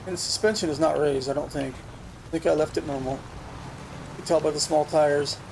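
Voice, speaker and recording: Brief: casual gaming host, male, slightly high voice, rambling commentary, USB desk mic, game noise clearly audible. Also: fairly even intonation, normal speed. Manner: rambling, thinks aloud with restarts. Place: home computer setup. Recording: noisy game sound behind voice. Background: truck game sounds.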